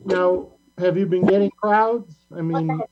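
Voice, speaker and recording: A man's voice: high-pitched, fairly even intonation, measured pace, a crowd in the background. A man hosting a casual group chat. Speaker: host. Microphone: video call. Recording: muffled online call with hum.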